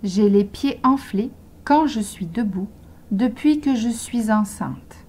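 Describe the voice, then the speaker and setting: A woman reads short model sentences for beginner learners, one at a time. She speaks very slowly and articulates every word with care. Her voice is husky and mellow. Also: fairly high pitch, animated. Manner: slow, carefully articulated dictation. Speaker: language teacher.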